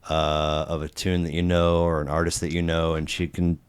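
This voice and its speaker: A man hosting a podcast, talking casually and haltingly with many restarts and fillers. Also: extremely low pitch, little pitch movement, smooth. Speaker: podcast host.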